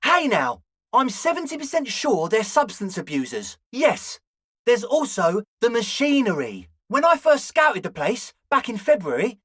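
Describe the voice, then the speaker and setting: A man's voice: very high-pitched, wildly swooping pitch, measured pace, a bit bright, no room sound, clean studio sound, heavily acted. A man voicing an eager, flaky young male slacker ringleader in a video game, talking in an earnest, ingratiating, hedging way. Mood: earnest, evasive. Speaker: voice actor. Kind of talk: video game character dialogue.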